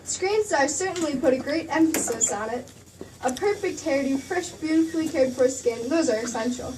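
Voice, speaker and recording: An actress playing a gushing, chic Hollywood reporter doing 1940s radio advertising banter. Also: female voice, high-pitched, expressive, normal speed, darkish tone. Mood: persuasive. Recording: distant mic, faint room noise.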